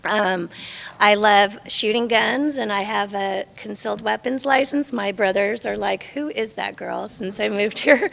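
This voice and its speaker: A woman's voice: mid-range voice, measured pace, gravelly, fairly bright tone. A middle-aged woman from the western US, chatty and plain-spoken, giving an informal self-introduction to a class. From western US, born in Los Angeles and raised in Las Vegas. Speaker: realtor at a speaker training class. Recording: echoey hall.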